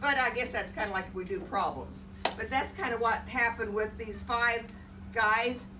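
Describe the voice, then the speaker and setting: A woman's voice: a little high, normal speed. A woman with a folksy, chatty, encouraging manner, telling folktales to children and prompting them along. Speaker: storyteller and host. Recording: noisy room, distant mic.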